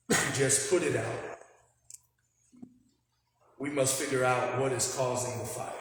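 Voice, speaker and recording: Man, moderator, solemn formal address, very slow delivery, heavy echo. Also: mid-range voice, fairly bright tone. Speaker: moderator opening a panel discussion. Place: large indoor hall with long reverb. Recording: very echoey hall with faint noise.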